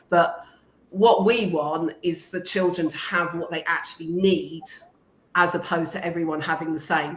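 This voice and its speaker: Low-voiced teacher woman in an echoey room. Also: fairly bright tone. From England. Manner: thoughtful, hesitant, many ums. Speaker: guest, teacher. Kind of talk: casual interview talk. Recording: echoey room with faint noise.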